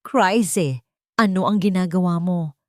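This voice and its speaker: A woman's voice: lowish voice, takes it slowly, a little mellow, no echo, studio quality. A woman voicing a heartbroken young mother, tearful and shaken, in an audio drama. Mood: distraught.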